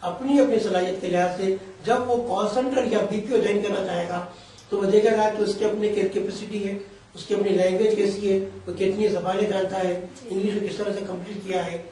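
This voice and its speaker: A man's voice: high voice, steady tone, fairly quick, dark tone. A man giving career guidance in an interview, explaining in long, winding sentences that mix Urdu-flavoured Hindi with English terms. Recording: echoey room, voice from a distance.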